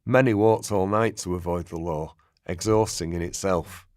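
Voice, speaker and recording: A man acting as a sober narrator, giving measured, expository documentary-style narration in a radio play. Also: sits low, a little mellow, no echo, studio quality, natural voice. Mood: sober, explanatory. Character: sober male historical narrator.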